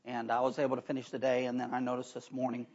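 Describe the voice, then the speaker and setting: A man preaching a sermon in a church, hoarse from allergies and talking in a folksy way with chatty asides. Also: mid-range voice, monotone, fast, a little mellow. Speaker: pastor. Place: church sanctuary. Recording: dull lo-fi recording.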